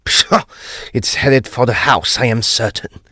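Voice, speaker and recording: A man playing a decisive, inquisitive gentleman detective of the occult in a period Gothic audio drama, with grand theatrical delivery. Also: mid-range voice, no room sound, studio quality. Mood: urgent. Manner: grand, deliberate, theatrical exclamations.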